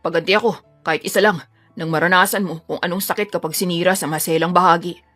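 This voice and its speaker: A woman voicing a menacing, obsessive male attacker in an audio drama, taunting, bitter and forceful. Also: lowish voice, fairly even intonation, fast talker, faint music behind, pitched below the performer's own voice. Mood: vengeful.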